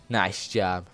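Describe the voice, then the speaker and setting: Slow, low-voiced goofy man gaming. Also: fairly bright tone. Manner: goofy, joking, repeats himself. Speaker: gaming video creator. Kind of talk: casual rambling Let's Play commentary. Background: video game music.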